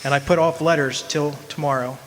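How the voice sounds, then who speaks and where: A man playing a wistful old soul in a radio drama, remembering his lost love in measured, poetic, solemn narration. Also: on the high side, fairly even intonation, a little hiss or hum, lightly acted, poor audio. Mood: rueful. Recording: music behind the voice.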